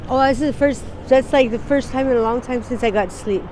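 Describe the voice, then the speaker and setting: Woman, forties, from Wyoming, speaks unpolished and halting, wanders off mid-thought, laughs easily, street sounds behind her. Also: fairly high pitch, a little mellow, slight background noise, low-quality recording. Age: 40s. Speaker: interviewee. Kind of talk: candid street interview. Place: outdoors on a city street.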